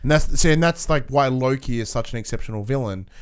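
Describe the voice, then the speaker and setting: A young Australian man co-hosting a podcast, chatting casually in a halting, rambling way. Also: medium pitch, smooth. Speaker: podcast co-host. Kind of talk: casual chat.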